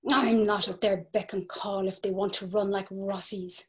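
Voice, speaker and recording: A woman's voice: mid-range voice, fairly even intonation, normal speed, close to an everyday voice. A woman voicing a romantic young Irish woman in a dramatic reading over a video call, dreamy and at times whispering. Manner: breathy, dreamy, sometimes whispered.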